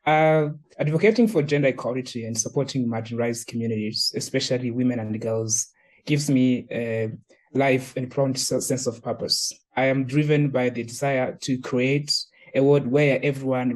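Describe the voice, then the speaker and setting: A man from Rwanda giving an earnest, measured personal statement as a gender equality advocate, with small restarts. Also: medium pitch, fairly even intonation, darkish tone, rough recording.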